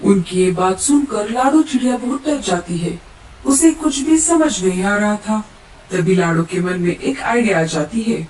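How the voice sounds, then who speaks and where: High-pitched male cartoon story narrator. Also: expressive, a little slow, a little mellow. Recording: roomy echo, distant, faint noise.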